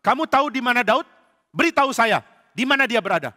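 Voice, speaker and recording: A man puts on a paranoid, wrathful king who shouts every order. His voice rides high and hard, with long reverb trailing each line. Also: animated, a little fast, full character voice. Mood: furious. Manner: shouting, commanding. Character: furious paranoid male king.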